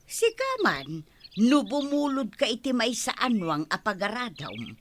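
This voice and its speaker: A woman's voice: fairly high pitch, wildly swooping pitch, unhurried, a little hiss or hum. A woman playing a scolding, exasperated village woman in an audio drama, with an Ilocano accent.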